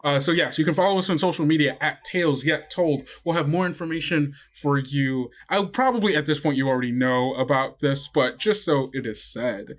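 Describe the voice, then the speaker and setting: A man's voice: fairly high pitch, a little fast, few pauses. A man hosting as game master, wrapping up a tabletop podcast in a loose, chatty way full of fillers. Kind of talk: casual podcast sign-off.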